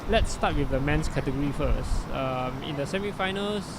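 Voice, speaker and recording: A man's voice: fairly high pitch, normal speed. A middle-aged Singaporean man with a Singaporean English accent giving loose, chatty live commentary on a lawn bowls final, full of fillers and asides. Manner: chatty and loose, many fillers, self-deprecating. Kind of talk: live solo sports commentary. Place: outdoor bowling green. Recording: noisy outdoor live stream.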